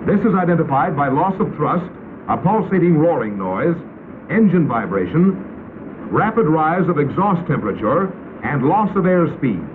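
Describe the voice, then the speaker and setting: An American man narrating a 1950s instructional film in a crisp, authoritative, instructional manner. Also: on the high side, sing-song, normal speed. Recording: tinny, noisy 1950s film soundtrack.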